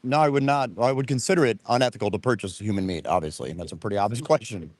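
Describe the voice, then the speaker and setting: Fast-talking argumentative mid-pitched man. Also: dry recording. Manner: talkative, argumentative, chuckling. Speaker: traveler being interviewed. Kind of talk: casual street debate. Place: outdoors at a beach.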